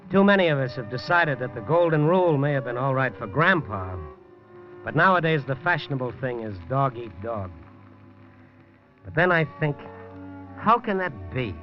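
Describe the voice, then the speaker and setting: An actor playing a world-weary night-beat newspaper reporter, telling his own story in a hard-boiled, wry, low-key way. The voice sits at an ordinary male pitch, heard through a dull, lo-fi 1950s radio recording with faint music underneath. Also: big pitch swings, moderate pace, close to an everyday voice. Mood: reflective. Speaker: first-person narrator and lead. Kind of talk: 1950s radio drama narration.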